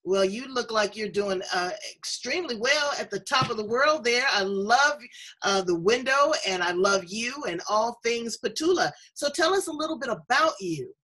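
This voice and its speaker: A woman hosting a conversational interview, talkative, effusive and playful, with a husky voice. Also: fairly high pitch, animated, normal speed, sharp tone, low-quality recording. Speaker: show host interviewing a guest.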